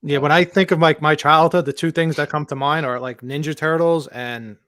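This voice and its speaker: A man's voice: fairly high pitch, fairly even intonation, moderate pace, fairly bright tone, dry recording, clean studio sound. A man in his forties on a casual panel, talking eagerly and peppering his speech with "like". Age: 40s.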